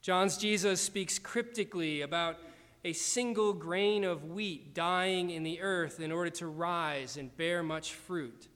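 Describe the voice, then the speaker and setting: A man preaching a sermon in a measured, deliberate way, slowing down for emphasis. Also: sits high, a bit bright, big-room sound. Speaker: pastor.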